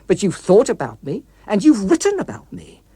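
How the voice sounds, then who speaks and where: A man's voice: high voice, wildly swooping pitch, moderate pace, warm tone, breathy edge, no room sound, pitched above the performer's own voice, heavily acted. A man voicing a menacing rogue policeman, a vengeful criminal who taunts and accuses, in a dramatic audiobook. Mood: taunting, bitter.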